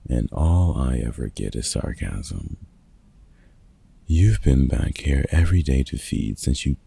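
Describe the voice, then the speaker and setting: A man playing a gruff, grudgingly kind vampire hunter in a roleplay audio drama, dry and sarcastic, with a gravelly, husky voice. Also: a bass voice, mellow, full character voice. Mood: exasperated, sardonic.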